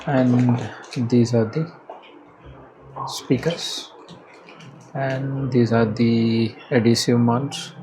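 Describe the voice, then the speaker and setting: A man with an Indian accent giving casual unboxing and installation commentary as a product reviewer, halting and hesitant as he thinks aloud. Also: mid-range voice, fairly even intonation. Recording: clearly noisy background ambience.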